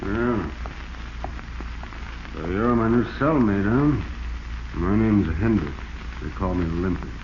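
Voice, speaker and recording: An actor playing a seasoned, gruffly welcoming convict with a wry, matter-of-fact 1940s radio delivery. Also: male voice, on the low side, takes it slowly, long pauses. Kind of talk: 1940s radio drama acting. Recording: muffled, noisy 1940s radio.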